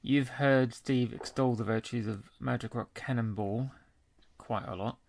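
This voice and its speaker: A man's voice: mid-range voice, fairly even intonation, measured pace, creaky, a little mellow, no room sound. A man co-hosting a beer podcast, chatting casually and jokily and introducing segments. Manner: jokey, laughs along. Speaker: podcast co-host. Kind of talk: casual chat and show links.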